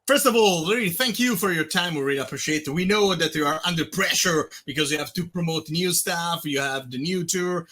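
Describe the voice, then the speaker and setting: A man's voice: fairly high pitch, a little fast, few pauses. A man from Italy with an Italian accent, hosting a casual, effusive chat from his private home studio. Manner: excitable, effusive, laughs easily. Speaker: host.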